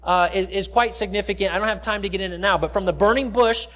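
High-pitched American man preaching. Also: fast, a bit bright. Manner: conversational, explanatory preaching with frequent asides. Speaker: pastor. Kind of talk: sermon. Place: large church sanctuary, speaking from the stage.

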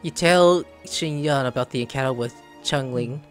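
A man's voice: medium pitch, moderate pace, faint music behind. A man doing casual, offhand gameplay commentary from a room, joking and reading choices aloud. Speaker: gaming streamer. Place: indoor room, webcam setup.

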